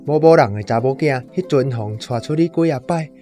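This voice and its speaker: A man acting as a gossiping village neighbour with a Taiwanese accent. The voice is dark and mellow, full of insinuation, in a radio play with faint guitar behind it. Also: mid-range voice, brisk, lightly acted. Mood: insinuating.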